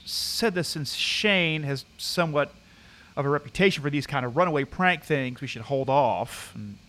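Man acting a high-voiced, earnest young camp counselor. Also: moderate pace, fairly bright tone, close to an everyday voice. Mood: uneasy. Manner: casual, informal, earnest. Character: concerned, chatty young male camp counselor. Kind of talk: audio drama acting.

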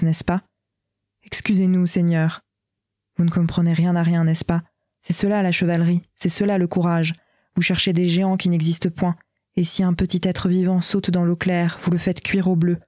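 An actress gives voice to a fierce young water sprite who defies the humans around her. The declamation is impassioned and theatrical, delivered in a lowish, mellow voice. The sound is dry and close, like an old stage play recording. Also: no room sound, close to an everyday voice. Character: young female water sprite, defiant and scornful.

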